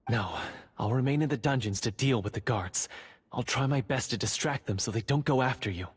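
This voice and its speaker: An actor playing a secretive male dungeon guard who is a covert ally, speaking in a hushed, urgent, earnest way. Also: medium pitch, a little fast, breathy edge. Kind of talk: game voice acting.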